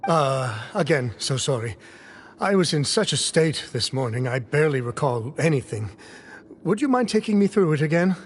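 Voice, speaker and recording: A man's voice: a little high, very animated, normal speed, slight background noise. A man voicing an anxious, apologetic, overworked worker in a video game, speaking hesitantly and deferentially. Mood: sheepish.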